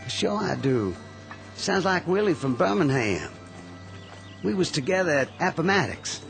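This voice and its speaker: A man's voice: fairly high pitch, very animated, measured pace, creaky, faint music behind, heavily acted. A man playing a polite, humble young Confederate soldier with a Southern accent, wounded and heading home on foot, in a radio drama. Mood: earnest, humble. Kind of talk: 1960s-style radio drama acting.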